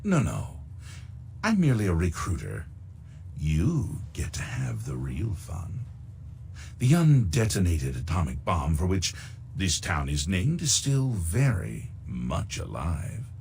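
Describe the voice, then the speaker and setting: An actor playing a suave, sinister middle-aged recruiter in a video game, speaking in a pompous, unctuous and deliberate way. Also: male voice, sits low, wildly swooping pitch, gravelly, darkish tone, slight background noise, exaggerated voice. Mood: conspiratorial, persuasive. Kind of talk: theatrical video game dialogue.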